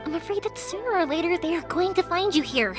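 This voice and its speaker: A bubbly young fireworks girl with a very high, bright voice that bounces all over the place. She is upbeat and jumps from thought to thought. Game music plays clearly behind. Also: expressive. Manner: bubbly, quick to change topics. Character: cheerful young fireworks-maker girl, energetic. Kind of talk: video game voice acting.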